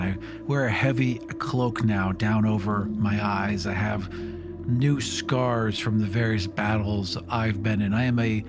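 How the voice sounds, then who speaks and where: Man voicing a grim ex-paladin, sullen, subdued, music behind. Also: on the low side. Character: grim, sullen former paladin, hardened by years of hunting.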